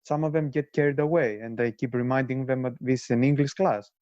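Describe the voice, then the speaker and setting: A man's voice: mid-range voice, fairly even intonation, brisk, darkish tone, no room sound. A man explains his question in an online Q&A. He is hesitant, dropping uhs between phrases. The call audio is dull and lo-fi, with a dry, close sound. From Greece. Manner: hesitant with frequent "uh". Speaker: English teacher of refugees. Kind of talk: casual answer in Q&A.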